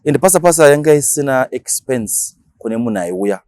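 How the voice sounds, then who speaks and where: A Shona-speaking man with a Zimbabwean accent, talking casually as an interview guest and explaining things in animated, slangy language with acted-out examples. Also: medium pitch, normal speed, no echo, clean studio sound. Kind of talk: casual interview talk mixing Shona and English.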